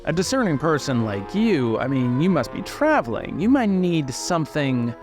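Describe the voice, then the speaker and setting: A man voicing an eager, wheedling shopkeeper of curios in an improvised tabletop game, full of hesitant, flattering sales patter. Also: a little high, normal speed, over music. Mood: smooth sales pitch.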